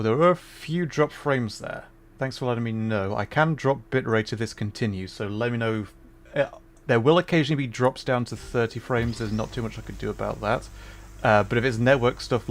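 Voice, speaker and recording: A man casually commenting as he plays a game, relaxed and chuckling, explaining the mechanics to viewers. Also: mid-range voice. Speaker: streamer.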